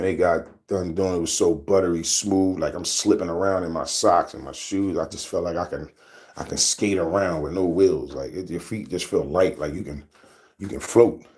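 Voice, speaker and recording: A man in his thirties with a deep voice, telling loose, rambling stories to his camera as a casual vlog and laughing often. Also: a little mellow, slightly rough. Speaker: vlogger.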